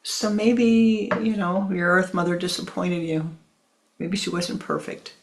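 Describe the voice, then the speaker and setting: Gravelly, gentle spiritual teacher. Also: mid-range voice, level tone, measured pace, poor audio. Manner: tender, repetitive, addresses listeners as "my love".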